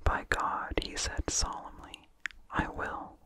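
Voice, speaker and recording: An ASMR host reading in a breathy, unvoiced whisper, hushed and deliberate, with incidental mouth sounds close to the microphone. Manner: slow, deliberate, hushed delivery with long pauses. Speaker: ASMR host reading a vintage horror story.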